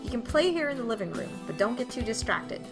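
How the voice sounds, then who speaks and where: A kindly father, read by a woman, in a visual novel, talking to his little son. The manner is gentle and instructive with a light tease, over clearly audible music. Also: a little high, big pitch swings, fast talker.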